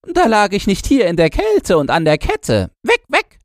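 A man voicing a boastful, nostalgic old chained dog in a shrill, yapping falsetto for a storybook. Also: huge pitch swings, measured pace, no echo, studio quality, full character voice. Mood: knowing, gruffly boastful. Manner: knowing, exclamatory, barking.

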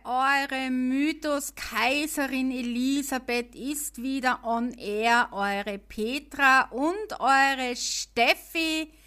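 A woman with a Viennese accent, co-hosting a podcast and talking slowly and carefully so everyone can follow. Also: sits high, bright, forceful tone. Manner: deliberately slow, careful speech. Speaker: podcast co-host. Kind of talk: casual history podcast chat.

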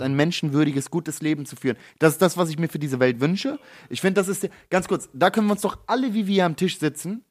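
Man, guest on a kitchen-table podcast, fast slangy talk with frequent restarts, voice a bit high. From Hamburg. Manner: animated, slangy, restarts often. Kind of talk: casual chat.

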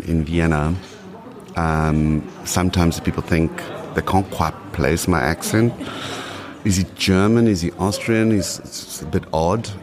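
A man in his late forties with a South African accent, chatting in a casual interview and repeating phrases for emphasis. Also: low-pitched. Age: late 40s. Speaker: podcast guest.

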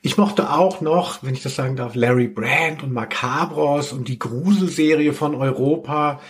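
A man's voice: mid-range voice, moderate pace. A man, middle-aged, hosting a podcast in casual chat with an ironic, tongue-in-cheek manner. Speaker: podcast host.

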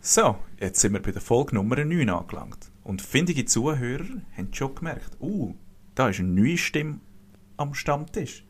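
A man hosting a casual podcast in Swiss German, joking and relaxed in his banter. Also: medium pitch, moderate pace, hardly any pauses, a little hiss or hum. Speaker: podcast host. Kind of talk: casual chat.

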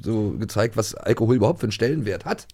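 A middle-aged man co-hosting a show in casual chat, telling things enthusiastically in a halting, repetitive way. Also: fairly low pitch, expressive, darkish tone, husky. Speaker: co-host of an audio drama magazine show. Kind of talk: casual chat, reviewing audio plays.

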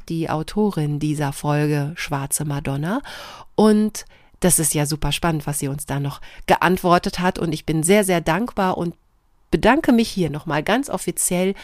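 A woman's voice: low-pitched, dry recording, studio quality. A woman hosting a podcast, reading aloud and talking things through. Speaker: podcast host. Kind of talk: podcast talk, reading out written answers and chatting.

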